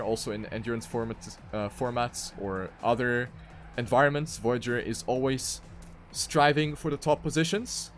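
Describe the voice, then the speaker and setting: A man's voice: a little high, a bit bright, a little hiss or hum. A man giving live commentary on a racing video game as an esports caster.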